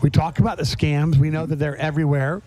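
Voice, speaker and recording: A middle-aged man with a high voice and a mellow tone hosts a casual podcast chat. He talks in an effusive, rambling way. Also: steady tone, fast talker, low-quality recording. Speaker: podcast host. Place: on location at a garage.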